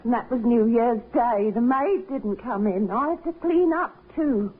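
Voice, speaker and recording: An actress playing an overworked, plain-spoken cook at an inn in a 1950s radio drama. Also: female voice, a little high, unhurried, slightly rough. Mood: grumbling. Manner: chatty, plain-spoken, colloquial. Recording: muffled 1950s radio recording.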